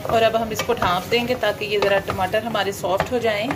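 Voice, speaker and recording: A woman hosting her home cooking channel, explaining each step in a homely way with frequent filler pauses. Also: mid-range voice, steady tone, heavy background noise. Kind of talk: home cooking demonstration voice-over.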